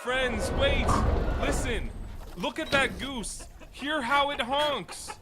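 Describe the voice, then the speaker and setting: High-voiced, fervent medieval townsman. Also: animated, slow pace, very noisy, exaggerated voice. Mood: excited. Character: insistent, fervent medieval townsman pressing a bishopric. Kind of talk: family audio drama acting.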